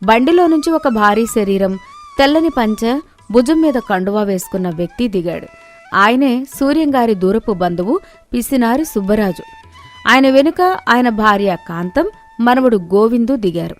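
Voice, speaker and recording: A woman's voice: fairly high pitch, lively intonation, moderate pace, faint music behind. A woman storyteller giving scripted narration of moral tales.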